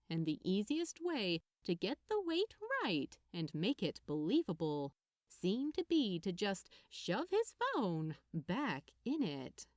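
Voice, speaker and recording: A woman reading a story aloud as narrator, in an expressive scripted style. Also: mid-range voice, no echo, clean studio sound. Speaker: narrator, fan fiction reader.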